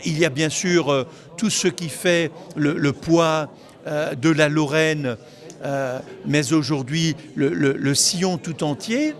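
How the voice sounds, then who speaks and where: A man giving explanatory interview answers as a local official, often halting and repeating words mid-phrase. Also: fairly high pitch.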